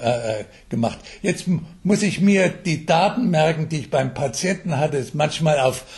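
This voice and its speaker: An older man answering interview questions as an expert, explaining in a halting way with English jargon mixed in. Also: fairly high pitch. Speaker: mobile health expert being interviewed. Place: telephone interview.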